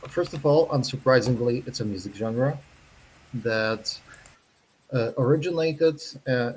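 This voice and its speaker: A man from Poland with a Polish accent, a non-native English speaker, giving a careful online presentation with many pauses. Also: medium pitch, slight background noise, poor audio. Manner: careful, unhurried, self-conscious, with many pauses and fillers. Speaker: zine editor giving a presentation.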